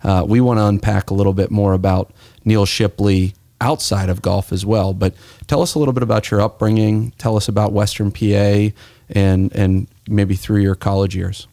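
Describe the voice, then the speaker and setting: An American man hosts a conversational podcast interview. His voice sits fairly low, and he talks reflectively, like someone telling a story. The sound is dry and close, with no room echo. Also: fairly even intonation, moderate pace, dark tone. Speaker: podcast host.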